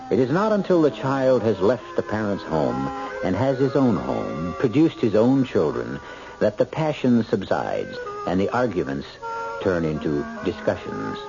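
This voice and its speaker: A man hosting and narrating an old-time radio drama in a measured, dramatic, literary style. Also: lowish voice, wildly swooping pitch, gravelly, music in the background. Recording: muffled old radio recording.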